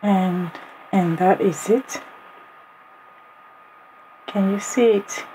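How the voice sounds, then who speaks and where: Hoarse woman excitedly unboxing gifts. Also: mid-range voice, extremely slow. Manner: excited, exclaims often and talks straight to viewers. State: hoarse, voice partly lost. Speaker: vlogger. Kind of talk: casual unboxing vlog. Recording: noisy home recording.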